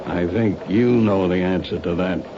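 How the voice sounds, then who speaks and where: An actor playing a skeptical, world-weary police lieutenant, wry and colloquial, in a 1970s radio drama. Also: male voice, sits low, normal speed, close to an everyday voice. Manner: wry, colloquial, matter-of-fact. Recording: dull, noisy old radio recording.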